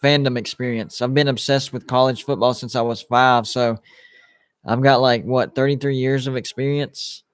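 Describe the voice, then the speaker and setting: A man's voice: medium pitch, fairly even intonation, moderate pace, clean tone, dry recording. A man in his late thirties hosting a live sports watch party stream, chatting casually with viewers and rambling through his thoughts. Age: 30s. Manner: rambling, restarts, lots of "like" and "you know".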